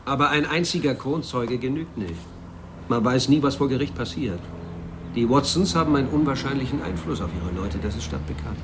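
An actor playing a stern, procedure-minded police captain in a German radio drama, formal, measured and insistent. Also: male voice, mid-range voice, animated, noisy background, lightly acted. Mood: guarded, insistent.

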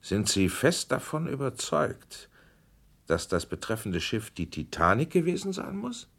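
A man's voice: medium pitch, big pitch swings, a little slow, vocal fry, a bit bright, heavily acted. An actor playing a male committee investigator in a radio drama, questioning witnesses in a pressing, formal way. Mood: probing. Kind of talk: 1980s radio drama acting.

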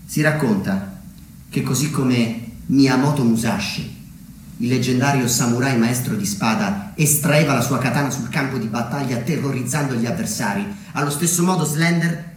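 A man performing a scripted theatrical monologue as narrator, telling his story deliberately with dramatic pauses and emphatic swings. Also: mid-range voice. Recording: very echoey, distant, under loud plucked guitar music. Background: plucked guitar music.

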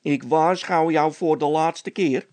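A man's voice: on the high side, takes it slowly, darkish tone, no echo, voice pushed up. A man voicing a peevish, spiteful road labourer who is easily irritated, in a storybook tale. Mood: threatening.

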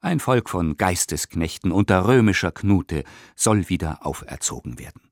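An actor in a radio play reciting an 1890s newspaper polemic as an impassioned, indignant reader with emphatic rhetorical delivery. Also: male voice, sits low, very animated, moderate pace, heavily acted. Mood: impassioned outrage. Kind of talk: dramatic radio play recitation of historical press text.

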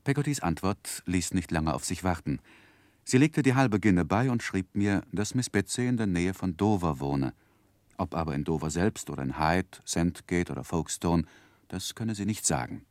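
An actor playing an earnest grown man who narrates his boyhood memoir in measured, reflective literary style for a 1960s radio drama. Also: deep voice, darkish tone, no room sound.

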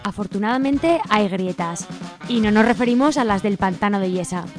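A woman's voice: mid-range voice, moderate pace. A woman with an accent from Spain reading scripted narration as co-host of a radio show. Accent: Spain Spanish. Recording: music bed behind the voice. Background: music.

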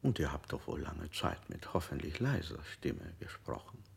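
An actor playing an interrogator who coaxes and questions insistently. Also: male voice, extremely low pitch, big pitch swings, normal speed, vocal fry, full character voice. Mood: probing. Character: male interrogator, coaxing yet probing. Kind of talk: modernist radio play acting.

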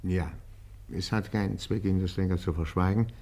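A man's voice: sits low, steady tone, brisk, a little mellow. A man playing a courteous but firm police interrogator in a German radio crime play, formal and controlled. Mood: grave, considerate. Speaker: actor in a radio crime drama.

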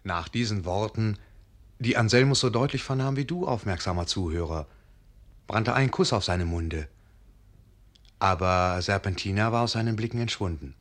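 Low-voiced male fairy-tale narrator. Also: fairly bright tone.

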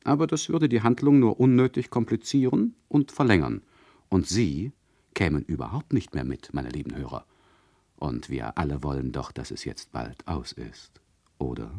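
Low-voiced, condescending radio play narrator. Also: male voice, normal speed, vocal fry, mellow, full character voice. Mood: dismissive. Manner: sardonic, addresses listeners directly, mock-patient. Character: condescending, chatty male narrator who mocks his listeners.

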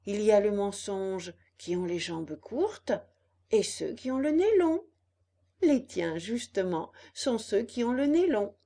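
A kind young storybook fairy, voiced by a woman, soft-toned and unhurried. She is patient and tender, gently chiding in a measured, melodic way. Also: medium pitch, very animated.

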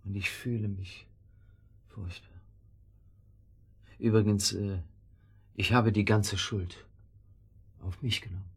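A low-voiced, guilt-ridden man in a radio drama. His voice is husky and worn. He sounds groggy and hungover, and his words come heavily and haltingly. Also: steady tone, exaggerated voice. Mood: weary, evasive. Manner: halting, heavy-tongued, weary. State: groggy, hungover after drink and pills. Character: guilt-ridden, cowardly man dictating a murder confession. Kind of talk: German radio drama acting.

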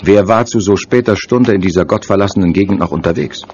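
Male narrator for audio thriller, low voice, scripted dramatic delivery, slightly muffled with faint noise. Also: fast, a little mellow. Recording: dull sound with faint noise.

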